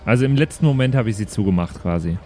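A man's voice: fairly low pitch, animated, fast talker, darkish tone, faint music behind. A man chatting casually as a player in an improvised role-playing game, often thinking aloud.

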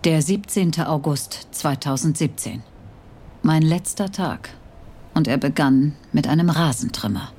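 A woman's voice: low voice, unhurried, a little mellow, husky, heavily acted. An actress playing a murdered older woman who narrates her own death in a wry, scripted crime documentary style. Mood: wry, ominous.

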